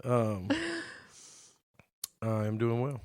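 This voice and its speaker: A man co-hosting a casual podcast, playful and teasing, his voice a bit hoarse from being sick. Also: lowish voice, level tone, darkish tone, no room sound, studio quality. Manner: playful, teasing, drawn-out reading aloud. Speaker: podcast co-host. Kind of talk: casual chat.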